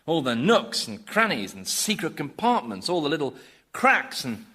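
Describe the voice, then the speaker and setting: A man's voice: fairly high pitch, big pitch swings, normal speed, bright, forceful tone, slight background noise. An actor playing a cynical, guarded and scornful man in a radio comedy drama. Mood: guarded cynicism.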